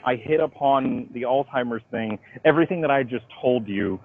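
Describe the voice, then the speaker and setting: A man being interviewed by phone as a writer, talking in an informal, halting but emphatic storytelling way. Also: mid-range voice, no echo. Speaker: interviewee, comic book writer. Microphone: phone call. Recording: dull lo-fi phone call.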